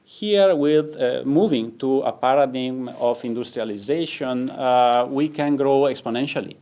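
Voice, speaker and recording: A man's voice: medium pitch, a little slow, dark tone. Hesitant Italian-accented man. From Italy. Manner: hesitant, many fillers and restarts. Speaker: company CEO. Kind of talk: interview answers.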